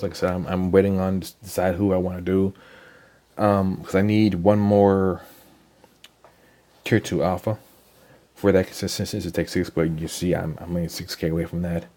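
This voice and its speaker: Low, dark-voiced young man with a cold. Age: young adult. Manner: rambling, halting, many ums and repeated words. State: hoarse from a cold. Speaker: gaming channel host. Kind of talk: casual game account walkthrough.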